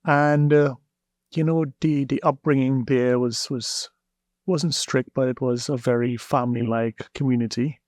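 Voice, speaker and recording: A man from Trinidad and Tobago with a Trinidadian accent, a guest telling his story in a relaxed interview and often repeating words as he searches for them. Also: mid-range voice, measured pace, dry recording, studio quality. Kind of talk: casual interview talk.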